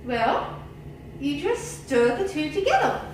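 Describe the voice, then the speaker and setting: A friendly baker puppet lady, acted out by a woman, speaks in a very high voice with lots of lilt. She guides patiently and folksily, step by step, for a young audience. The recording is distant and heavily echoing, with noticeable noise. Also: big pitch swings, takes it slowly, full character voice. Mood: instructing. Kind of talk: children's educational puppet show. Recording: echoey room, distant mic, noisy.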